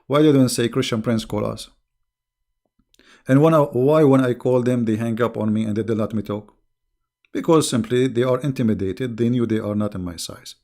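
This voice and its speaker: Gravelly man mocking his critics. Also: mid-range voice. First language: Arabic. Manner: animated, mocking, scornful. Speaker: online religious debater. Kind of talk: casual monologue to viewers.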